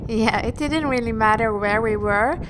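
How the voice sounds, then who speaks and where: A woman's voice: a little high, moderate pace, over a noisy crowd. A young Swedish woman reading the voice-over narration for a sailing vlog. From Sweden. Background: music.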